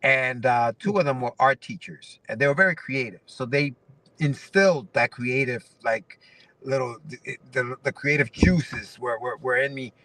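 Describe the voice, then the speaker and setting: A man in his forties from Ecuador talks casually as a guest, circling back over his words with restarts and fillers. His voice is bright and forward, caught in a dry space from some way off the mic. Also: medium pitch, moderate pace, no echo, recorded from a distance, rough recording. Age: 40s. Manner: rambling, many restarts and fillers. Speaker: guest comic writer. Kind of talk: casual interview chat.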